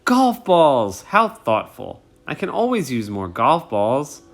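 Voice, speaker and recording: A man's voice: medium pitch, huge pitch swings, takes it slowly, full character voice. A man voicing a kindly, effusive, easily delighted dad in a children's storybook. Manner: exclaiming, effusive.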